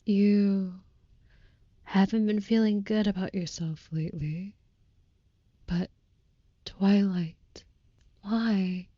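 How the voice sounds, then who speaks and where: A woman voicing a devoted, youthful but centuries-old vampire lover in an intimate audio roleplay, speaking softly with breathy, tender, archaic formality. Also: mid-range voice, no echo, muffled recording. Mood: tender, soothing.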